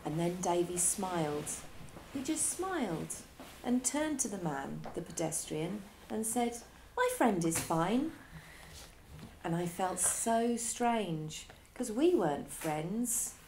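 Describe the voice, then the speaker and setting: A female teacher reading a children's story aloud, with expressive delivery and dramatic pauses. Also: fairly low pitch, slight background noise.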